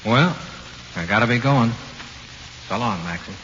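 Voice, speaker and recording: An actor playing a casual, morbidly helpful ghost of a jailbird with an American accent, in 1940s radio drama. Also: male voice, medium pitch, animated, a little slow, fairly bright tone. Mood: eerily casual. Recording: noisy dull old radio, long reverb.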